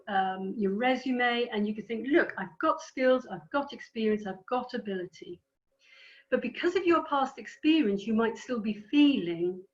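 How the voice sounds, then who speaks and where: Fast-talking Welsh woman giving a talk. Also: mid-range voice, dull audio. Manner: fast-talking, explanatory, with fillers. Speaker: keynote speaker. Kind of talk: conference talk over video call. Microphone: computer microphone on a video call.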